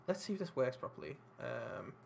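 A man's voice: medium pitch, very animated, fairly quick, gravelly, slight background noise. A man running a tabletop game as game master, talking informally and swearing casually.

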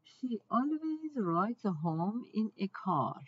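A woman reads a picture book aloud to young children, taking it slowly and deliberately. Her pitch rises and falls in a lively way, phrase by phrase. The recording is dull and lo-fi. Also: breathy edge.

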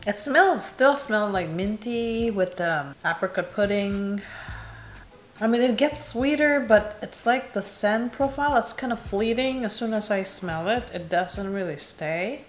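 A young woman from Indonesia reviewing perfumes in casual, candid chat, laughing at herself, filmed in a small bright room. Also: medium pitch, animated, measured pace, roomy sound. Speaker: beauty vlogger.

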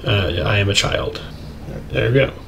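A man's voice: on the low side, moderate pace. A man hosting an unboxing video with casual, chatty commentary and easygoing asides to viewers. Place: indoors at a tabletop. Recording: clear background noise, crinkling packaging. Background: crinkling plastic bags, clicks.

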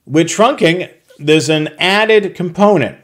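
A man's voice: on the high side, slow. A man narrating a slide tutorial, explaining a technical process step by step with occasional fillers. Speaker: product tutorial presenter.

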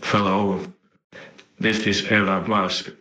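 A man's voice: lowish voice, level tone, takes it slowly, pushed and bright. A man's processed demo voice giving short greetings.

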